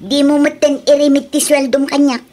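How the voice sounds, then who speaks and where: A high, girlish-pitched voice of a spendthrift wife played by a woman in an Ilocano radio drama. She is stubborn and defensive, exclaiming and whining in an Ilocano accent. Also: level tone, normal speed, mellow, close to an everyday voice. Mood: indignant. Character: spendthrift wife who likes expensive things, stubborn, defensive.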